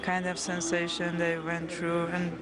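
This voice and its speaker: A woman's voice: fairly low pitch, completely flat delivery, measured pace, over loud music. A woman from Iran on a pilgrimage, speaking hesitantly as she searches for words.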